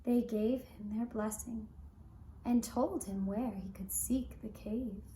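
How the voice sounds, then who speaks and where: Very slow, high-voiced woman storyteller. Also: fairly even intonation, warm tone, slight background noise. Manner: measured, deliberate phrasing with pauses. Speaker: storyteller and puppeteer. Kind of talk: slow, gentle children's storytelling for a puppet show. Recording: roomy, echoing indoor sound.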